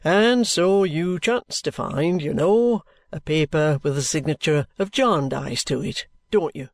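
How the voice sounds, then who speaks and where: A reader voicing a sly, genial middle-aged male detective with a cheerful, coaxing, unhurried manner. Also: mid-range voice, dry recording, lightly acted. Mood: wheedling. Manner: cheerful, coaxing, leisurely drawl. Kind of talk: audiobook character reading.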